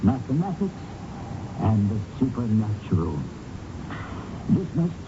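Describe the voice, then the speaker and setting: An actor playing an authoritative, scholarly male professor in a radio drama, speaking formally and deliberately. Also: fairly low pitch, very animated. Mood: measured, authoritative. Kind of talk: radio drama acting, lecturing. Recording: muffled, noisy old radio recording.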